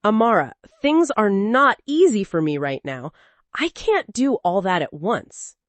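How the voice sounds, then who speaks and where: A woman's voice: medium pitch, sing-song, measured pace, no room sound. A woman voicing an evasive married man in a storybook tale, placating and full of excuses. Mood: defensive.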